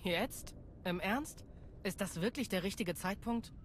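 A woman's voice: medium pitch, unhurried, a little hiss or hum, lightly acted. An actress playing a tough, battle-hardened female Jedi warrior in a video game, terse, dry and matter-of-fact. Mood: exasperated, dry. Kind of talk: video game cutscene acting.

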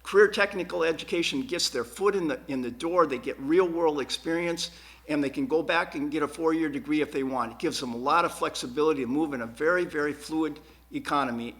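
A man answering questions as a school board candidate at a forum, earnest and emphatic, often repeating words haltingly. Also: fairly high pitch, a bit bright. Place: TV studio.

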